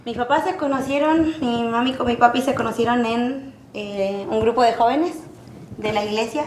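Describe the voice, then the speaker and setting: A young woman with a Chilean accent, a podcast guest who speaks softly and a little hesitantly in casual conversation. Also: on the high side, normal speed. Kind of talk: casual interview chat. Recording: echoey room with noise.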